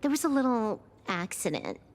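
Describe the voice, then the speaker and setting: A woman's voice: fairly high pitch, big pitch swings, normal speed, a little hiss or hum. A woman playing a cocky, playful young hacker in a video game, nonchalant and teasing as she drawls. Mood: nonchalant, mildly annoyed. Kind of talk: scripted video game character acting.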